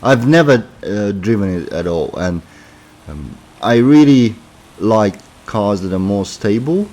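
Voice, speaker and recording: A man's voice: fairly low pitch, lively intonation, measured pace, a little mellow. A man with a sore throat from a cold, giving casual commentary on his racing game as he plays, thinking aloud with many fillers. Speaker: gaming commentator.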